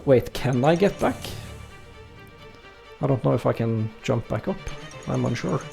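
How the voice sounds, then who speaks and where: A man's voice: mid-range voice, unhurried, vocal fry, faint music behind. A man with a Norwegian accent, streaming a game and chatting casually with viewers, hesitant with many fillers. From Norway. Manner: relaxed, hesitant, many fillers, reads chat aloud. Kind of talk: casual let's-play chat with chat readers.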